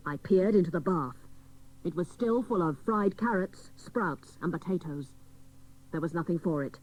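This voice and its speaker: Woman playing a deadpan comic private detective. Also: lowish voice, moderate pace, close to an everyday voice, rough recording. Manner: deadpan, mock-dramatic. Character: dotty female private detective, mock hard-boiled. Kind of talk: comic radio drama first-person narration.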